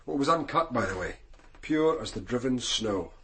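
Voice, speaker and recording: A man playing a senior police detective in a radio crime drama, Scottish, with a measured, dry, authoritative manner. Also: mid-range voice, animated, bright, forceful tone. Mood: brisk, dry.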